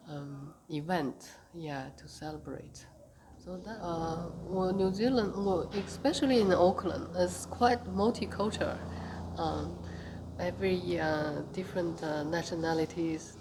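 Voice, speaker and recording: Slow-talking woman from Beijing, low voice. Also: noisy background. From Beijing, China. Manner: slow, hesitant, many fillers. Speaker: interviewee, tai chi group leader. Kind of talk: casual interview answers.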